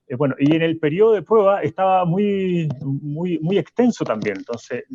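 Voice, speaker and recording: An actor playing a weary Chilean professor interviewed in a radio drama, stammering and rambling, in slightly muffled sound. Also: male voice, a little high, lively intonation, moderate pace, warm tone. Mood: halting, critical. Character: male professor, weary expert guest in a bleak future. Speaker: interview guest.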